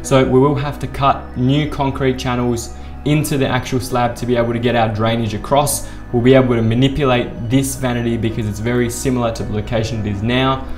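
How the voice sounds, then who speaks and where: A young man at a desk hosting an architecture software tutorial, explaining a bathroom design and gesturing as he talks. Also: mid-range voice, fairly even intonation, a little fast. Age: young adult. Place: small room at a desk. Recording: echoey room with faint music.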